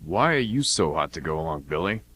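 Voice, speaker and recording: A man's voice: extremely low pitch, very animated, a little fast, a bit bright, husky, full character voice. A man playing a laid-back mercenary older brother in an amateur radio drama, with a casual, folksy delivery.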